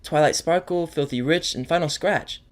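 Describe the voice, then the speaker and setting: A man's voice: on the high side, normal speed, pitched above the performer's own voice, close to an everyday voice. A man voicing a sweet, clumsy female pegasus pony for an audio drama, bubbly, apologetic and eager.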